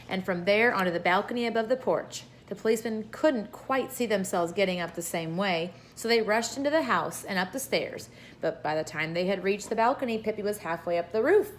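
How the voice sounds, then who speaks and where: A woman reads aloud from a children's book in an informal storytelling style. Her voice sits at an average pitch. A faint layer of noise runs behind the reading. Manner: informal, with brief asides to listeners.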